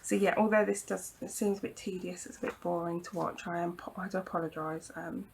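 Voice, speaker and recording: A woman demonstrating a craft project at her home craft table, croaky from hay fever, talking in a chatty, reassuring way with frequent ums and apologies. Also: on the low side, steady tone, fairly quick, a little mellow, a little hiss or hum. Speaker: craft tutorial host. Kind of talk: casual hands-on craft demonstration.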